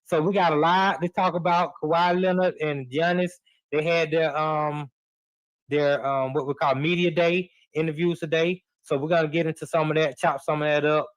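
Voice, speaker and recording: A middle-aged man talks sports in a loose, encouraging way, full of restarts and "you know." His voice sits a touch high for a man. The sound is dry and close, with no room around it. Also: steady tone, moderate pace, no room sound, dull audio. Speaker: podcast guest and host. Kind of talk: casual sports talk. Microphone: remote live stream.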